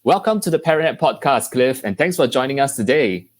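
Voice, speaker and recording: A man hosting a podcast, reading a scripted introduction in a measured, polished way. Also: mid-range voice, expressive. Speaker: podcast host.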